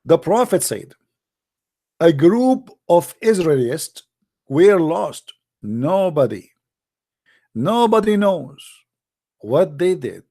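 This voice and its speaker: A man's voice: fairly high pitch, big pitch swings, slow pace, pauses often, mellow. A man hosting a call-in show, speaking English as a second language with emphatic, mocking sarcasm. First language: Arabic. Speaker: show host. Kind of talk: live call-in show commentary.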